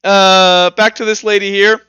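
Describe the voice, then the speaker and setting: A man running a tabletop roleplaying game as game master, narrating and explaining rules informally, thinking out loud as he goes. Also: high voice, little pitch movement, measured pace, clean tone, no echo, muffled recording.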